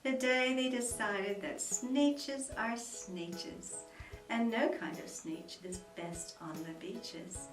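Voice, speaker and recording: A woman reading a children's book aloud at home, dramatic and expressive with soft whispers. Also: fairly high pitch, slow. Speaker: storyteller. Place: room at home. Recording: roomy echo, mic at a distance, faint music.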